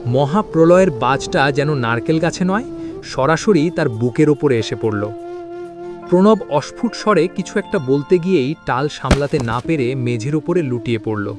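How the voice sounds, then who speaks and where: A man's voice: a little high, expressive, moderate pace. A man narrating a scripted horror story in a dramatic style. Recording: loud background music. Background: prominent dramatic music.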